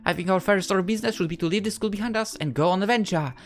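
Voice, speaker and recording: A woman's voice: medium pitch, rapid-fire, faint music behind, natural voice. A woman voicing a composed, confident, teasing schoolgirl in a visual novel game.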